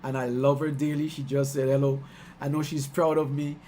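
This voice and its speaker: A man's voice: medium pitch, flat delivery, dark tone. Guyanese guy rambling on a stream, lots of ums, bit of hiss. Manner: rambling, halting, lots of fillers and repeats. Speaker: musician celebrating album release. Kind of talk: casual livestream chat. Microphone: video call.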